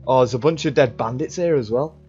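A man's voice: mid-range voice, fast talker, lo-fi sound, music in the background. A young man chatting casually as he improvises through a game roleplay. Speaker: gamer in roleplay series.

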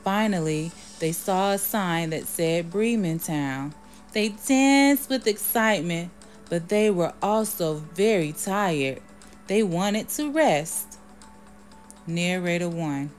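Slow-paced woman reading a storybook. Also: mid-range voice, a little mellow. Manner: measured, announces each part before reading. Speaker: children's story read-aloud reader. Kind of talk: storybook read-aloud, scripted narration.

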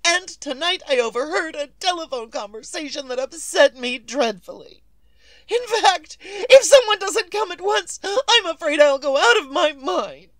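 A woman's voice: very high-pitched, expressive, a little slow, fairly bright tone, dry recording, full character voice. A woman playing a nervous, demanding invalid wife in a melodramatic 1940s radio suspense style. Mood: frantic, indignant.

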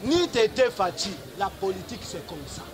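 A middle-aged Congolese man with a very high, strained-up pitch delivers a street political speech. He is declamatory and emphatic, often raising his voice to a shout. He speaks outdoors into a handheld microphone. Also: big pitch swings, normal speed, faint music behind. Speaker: political activist, street orator. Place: outdoors on a street among onlookers.

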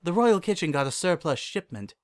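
Man voicing a gentle regal pony princess, pitched up. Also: big pitch swings, fairly quick. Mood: gracious. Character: calm, kindly royal pony princess and mentor, female. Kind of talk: storybook character dialogue.

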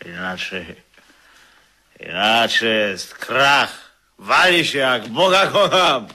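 A man's voice: medium pitch, animated, slow pace, bright, forceful tone, full character voice. An actor playing a drunken, self-pitying man in a radio drama, rambling and repeating himself wistfully to his barman. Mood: bitter, desperate. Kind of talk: Polish radio drama acting.

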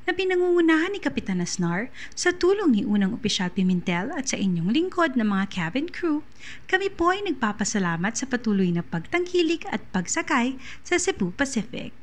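A woman's voice: high-pitched, very animated. A young woman with a Filipino accent voicing a polite, formal flight attendant reading a scripted in-flight announcement. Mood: courteous, formal. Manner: formal, measured, reading aloud. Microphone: earphone mic held near mouth.

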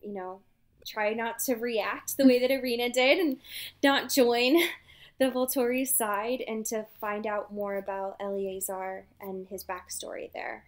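High-voiced woman podcaster, chatty retelling. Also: measured pace. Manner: animated retelling, acts out dialogue. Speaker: podcast co-host. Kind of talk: casual chat recapping a novel.

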